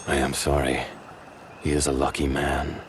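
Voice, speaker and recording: A courtly vampire for a video game, with an extremely deep voice. He is reserved and formal, choosing measured words. Noise or music sits behind him. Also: completely flat delivery. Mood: polite, reserved. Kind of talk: scripted game dialogue.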